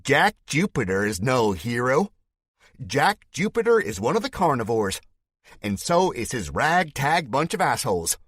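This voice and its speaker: A man voicing an unstable male militia leader with a fake southern drawl, making loud, theatrical speeches to a crowd. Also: mid-range voice, sing-song, a little slow, slightly rough, no echo, studio quality, voice pushed up, heavily acted. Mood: accusing.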